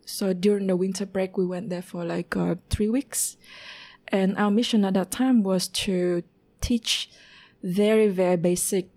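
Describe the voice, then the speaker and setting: A woman in her thirties from Vietnam, speaking English as an interview guest, recounting her life at an unhurried pace and pausing to find words. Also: medium pitch, steady tone, warm tone.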